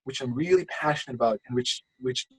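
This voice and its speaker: A man's voice: mid-range voice, fairly quick, soft background music, poor audio. A man from Lithuania, not a native English speaker, coaching over a video call in a rambling, passionate way with many restarts. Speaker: channel coach. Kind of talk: casual screen-share coaching call.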